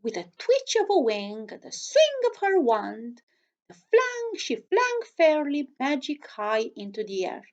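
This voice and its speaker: A woman's voice: high voice, huge pitch swings, measured pace, highs cut off. A woman reading a children's storybook aloud, expressively, chatting to young listeners with questions and asides.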